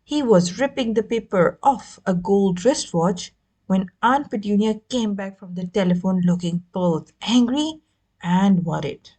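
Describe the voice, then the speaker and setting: A woman reading a children's novel aloud as an expressive narrator. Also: medium pitch, unhurried, breathy edge. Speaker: audiobook reader. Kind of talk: expressive scripted story narration.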